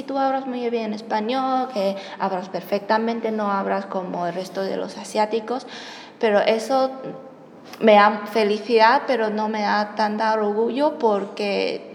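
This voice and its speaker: A woman's voice: medium pitch, measured pace. Young woman, echoey hall. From China. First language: Chinese. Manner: hesitant, searching for words, self-correcting. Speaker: interviewee. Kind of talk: personal storytelling in a second language. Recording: echoey hall with faint background noise.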